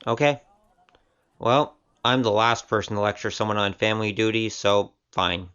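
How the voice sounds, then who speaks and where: A man's voice: on the low side, moderate pace, fairly bright tone, clear, resonant tone, lo-fi sound, lightly acted. A man playing a frank, easygoing wanderer in an audio drama, casual and plain-spoken. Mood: wry, probing.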